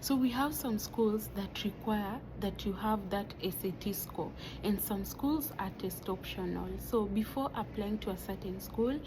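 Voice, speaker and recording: A young woman with a Kenyan accent explains things in a relaxed, informal way, peppering her talk with "like". Her voice sits at a middle pitch. Steady background noise is clearly heard behind her. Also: unhurried, hardly any pauses. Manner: informal, uses many "like" fillers. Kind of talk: casual explainer video. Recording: noisy background.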